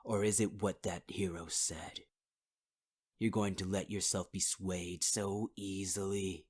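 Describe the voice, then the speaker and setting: A man's voice: deep voice, little pitch movement, slow, a little mellow. A man performing a possessive male villain in an ASMR audio roleplay, speaking close to the mic in a taunting, half-whispered voice and calling the listener sweetheart.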